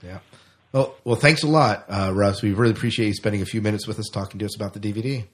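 A man's voice: lowish voice, quick pace. A man hosting a podcast in a casual, conversational interview style. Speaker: podcast host.

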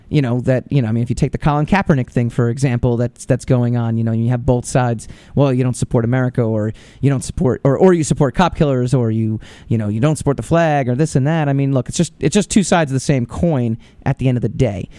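A man's voice: medium pitch, dark tone, dry recording. A man hosting a podcast, giving casual, opinionated commentary with lots of fillers and restarts. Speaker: podcast host.

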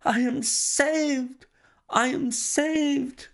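A man's voice: fairly high pitch, sing-song, a little slow, creaky, pitched above the performer's own voice, exaggerated voice. A man voicing a distraught older male banker who pleads and exclaims anxiously. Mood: excited.

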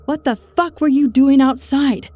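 Brief: woman reading bitter older spinster, profane, biting, raised and sharp. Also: a little high, moderate pace, no room sound, natural voice. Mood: furious. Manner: profane, cutting, snapping.

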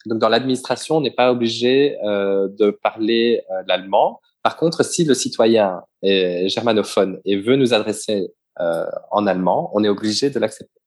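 Man, young adult, Belgian French accent, lowish voice, hesitant, explanatory. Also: normal speed. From Belgium, grew up in Flanders. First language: bilingual French and Dutch. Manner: explains at length with many hesitations and restarts. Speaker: embassy staff member, interviewee. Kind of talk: casual interview answers.

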